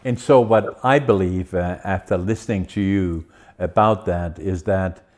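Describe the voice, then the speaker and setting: Deep-voiced man, halting interviewer. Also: a little mellow. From Netherlands. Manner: halting, searching for words with many fillers. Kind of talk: casual interview chat.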